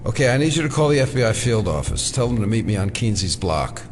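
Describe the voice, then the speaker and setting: An actor playing an impatient, insistent FBI agent, curt and sardonic, in an audio drama. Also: male voice, on the low side, sing-song, fast. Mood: urgent.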